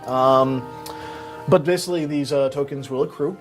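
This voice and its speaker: A man's voice: mid-range voice, normal speed, soft background music. A man co-hosting a game livestream, upbeat and chatty with lots of fillers. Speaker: co-host on a game stream. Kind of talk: casual chat on a livestream.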